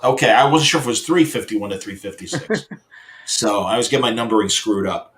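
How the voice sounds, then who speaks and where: A man hosts a live comics talk show with a mid-range voice. He chats casually with his guest in an upbeat, halting way. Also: medium pitch. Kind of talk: casual interview chat.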